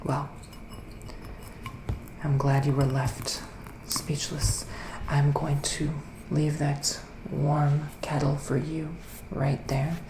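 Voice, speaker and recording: A soft-spoken man with a creaky voice acting out a psychic witch who gives readings in the woods. He drifts in and out of whispering, with theatrical, mystical phrasing. The recording is roomy, with faint noise behind it. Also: on the high side. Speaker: ASMR performer playing a psychic reader. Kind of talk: ASMR roleplay, soft-spoken and partly whispered.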